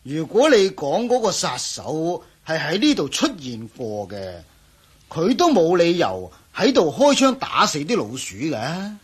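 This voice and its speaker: A man's voice: on the high side, wildly swooping pitch, unhurried, breathy edge, heavily acted. A man playing the stern, irritated master of a house in a radio drama, speaking Hong Kong Cantonese with sarcastic challenge.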